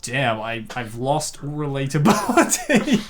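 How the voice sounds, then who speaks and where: Comic dub host and narrator, medium pitch, casual and joking, given to rambling commentary. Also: huge pitch swings, measured pace, crowd noise. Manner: jokey, rambling asides.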